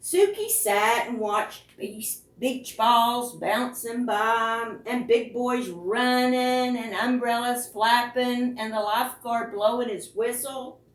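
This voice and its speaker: A woman reading picture books aloud to preschoolers as a storytime host, with expressive, deliberate phrasing and questions to the children. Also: a little high. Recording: roomy, echoing, distant microphone.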